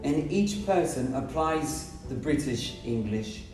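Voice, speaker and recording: A man's voice: mid-range voice, lively intonation, slow pace, a little mellow. A man from Brazil, an English teacher explaining his points emphatically in short repeated phrases on a podcast. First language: Portuguese. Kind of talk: podcast conversation. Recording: roomy, distant mic, music behind.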